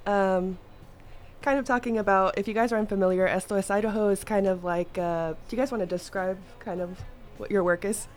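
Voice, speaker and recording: A woman's voice: mid-range voice, fairly even intonation, a little fast, faint music behind. A woman hosting a casual radio interview in a studio, chatty, laughing often and restarting her questions. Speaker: radio host. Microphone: studio mic.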